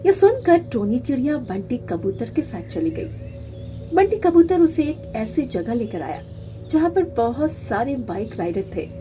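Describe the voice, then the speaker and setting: Casting: female storyteller for kids' cartoon, high register, scripted narration. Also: big pitch swings, unhurried, mellow, soft background music.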